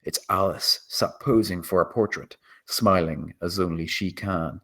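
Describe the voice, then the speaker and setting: A man's voice: low voice, unhurried, fairly bright tone. A man reading scripted screenplay action as the narrator.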